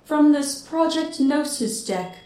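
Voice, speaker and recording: A woman's voice: high-pitched, lively intonation, warm tone. A woman playing a solemn tarot fortune teller, giving a portentous, theatrical reading. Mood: solemn, mysterious. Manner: portentous, measured. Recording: echoey, reverberant voice.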